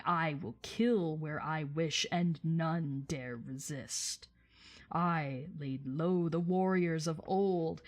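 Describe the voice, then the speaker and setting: A woman voicing a boastful, menacing old male dragon in a storybook reading, with slow, drawn-out, emphatic speech. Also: low-pitched, heavily acted. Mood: gloating.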